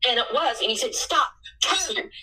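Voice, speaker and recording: A woman in her sixties, a missionary, telling her story in an earnest way that bursts into excitement at times. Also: fairly high pitch, moderate pace, far from the mic, rough recording. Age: 60s.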